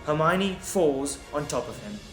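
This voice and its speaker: A man reading scripted dramatic narration in a deliberate, suspenseful manner. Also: on the high side, animated. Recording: echoey, music underneath. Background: music.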